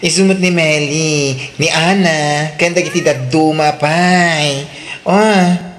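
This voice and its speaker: A woman's voice: low-pitched, fairly even intonation, takes it slowly, a little hiss or hum. A woman with an Ilocano accent acting in a radio drama, playing a friendly, welcoming coworker. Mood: cheerful.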